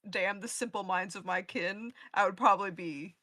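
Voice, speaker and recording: A woman's voice: medium pitch, normal speed, sharp tone, no echo. A woman giving her take in a casual panel chat, informal and amused. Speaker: podcast live event panelist.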